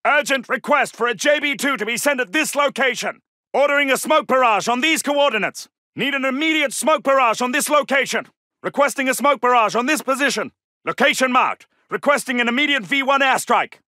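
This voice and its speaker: High-pitched, commanding wartime soldier. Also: male voice, fairly quick, pushed and bright, no room sound, clean studio sound. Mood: firm, alert. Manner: urgent, clipped, commanding. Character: commanding male World War II British soldier pilot. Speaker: video game soldier character. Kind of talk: military battle callouts.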